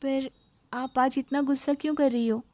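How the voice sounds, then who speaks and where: A woman's voice: sits high, steady tone, moderate pace, dark tone, no echo, natural voice. A woman voicing a carefree, defiant young daughter-in-law in a cartoon moral story, cheeky and unbothered. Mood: puzzled.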